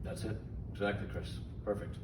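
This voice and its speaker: A man giving informal safety training instructions in a deliberate, simple, step-by-step manner. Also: on the low side, flat delivery. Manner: slow, deliberate, simple phrasing. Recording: echoey, distant mic, noisy room.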